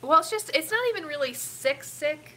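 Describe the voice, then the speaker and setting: A woman's voice: sits high, animated, normal speed, sharp tone, a little hiss or hum. A middle-aged woman with a stuffy, sniffly nose, rambling casually with lots of ums while hosting a family live stream. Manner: rambling, chatty, with frequent ums.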